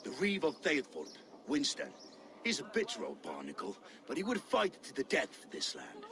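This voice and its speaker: An actor playing a fiery, brooding Danish Viking warrior in a video game cutscene. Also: male voice, mid-range voice, wildly swooping pitch, moderate pace, gravelly, a crowd in the background. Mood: doubtful.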